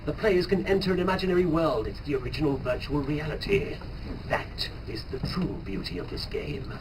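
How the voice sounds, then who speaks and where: Sinister scheming game master played by a man, formal and theatrical, highish pitch, noisy live manga reading. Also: lively intonation, a little fast, lightly acted. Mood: grandiose. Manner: theatrical, menacing. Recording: noisy live convention recording, far from mic.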